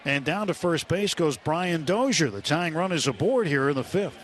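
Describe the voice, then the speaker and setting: An American man doing live radio play-by-play of a baseball game, with unhurried pitch calls and pauses between phrases. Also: animated. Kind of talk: live sports commentary. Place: ballpark broadcast booth. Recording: live stadium broadcast, ambient noise.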